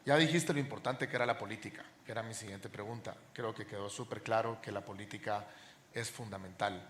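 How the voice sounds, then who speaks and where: A man with a fairly low voice moderates a live interview. He phrases his questions haltingly, searching for words. The venue is echoey, with faint noise behind him. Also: a bit bright.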